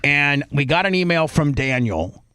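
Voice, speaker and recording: An American man with a slightly high voice hosts talk radio in a close, dry sound. He rambles through an off-the-cuff sponsor pitch, repeating himself and punching out lists. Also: expressive, moderate pace, pushed and bright, clear, resonant tone, no echo. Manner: rambling, repetitive, emphatic listing. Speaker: radio show host.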